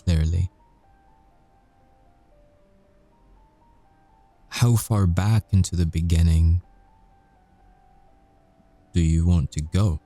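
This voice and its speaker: Casting: male actor as mocking supernatural convict for roleplay audio; low register, close dry sound, sweary, sarcastic, dangerous temper. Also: no room sound, faint music behind, heavily acted. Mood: guarded, sardonic. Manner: slow, taunting, sarcastic, sweary, with dark chuckles. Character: sardonic, volatile male supernatural prisoner, mocking and bitter. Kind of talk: audio roleplay ASMR acting.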